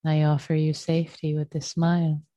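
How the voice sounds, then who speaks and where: A woman teaching a guided meditation in a gentle, unhurried manner, leaving long pauses between short phrases. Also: deep voice, monotone. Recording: muffled, roomy sound.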